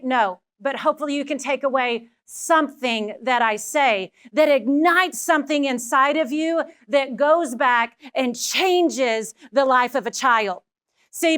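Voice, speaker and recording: A woman with a Texan accent, giving a motivational talk to a live audience in an energetic, personal way and speaking straight to the listeners. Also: high-pitched. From Texas. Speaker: keynote speaker.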